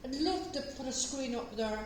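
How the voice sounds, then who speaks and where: A woman's voice: high voice, normal speed. An enthusiastic woman chatting casually as a craft group member. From Northern Ireland. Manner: enthusiastic, warmly eager. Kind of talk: casual chat. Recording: echoey noisy room.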